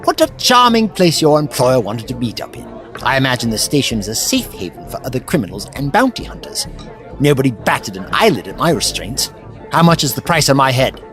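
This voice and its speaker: An actor playing a flippant, clever time-travelling adventurer in an audio drama, chatty, wry and breezily polite. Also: male voice, mid-range voice, sing-song, fairly quick, a bit bright, soft background music, some chatter behind. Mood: breezy, puzzled.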